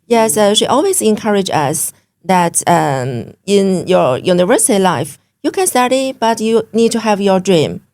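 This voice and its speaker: A woman from China speaking English with a Chinese accent as an interview guest, pausing often with fillers. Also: mid-range voice, lively intonation, darkish tone. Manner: hesitant, many fillers, simple English. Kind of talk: interview conversation.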